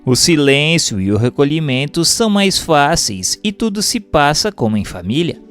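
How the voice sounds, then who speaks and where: A Brazilian man reading a written text aloud as a formal, measured, expressive narration. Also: on the high side. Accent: Brazilian Portuguese. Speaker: reader of a Spiritist text. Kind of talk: scripted audiobook-style narration. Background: background music.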